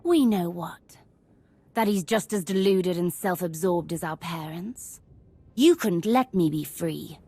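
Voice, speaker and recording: Low-voiced, bitter, sarcastic daughter. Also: female voice, warm tone, slightly rough. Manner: sardonic, contemptuous, deliberate. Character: bitter, sarcastic young adult daughter, cruel and powerful. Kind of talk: video game character acting.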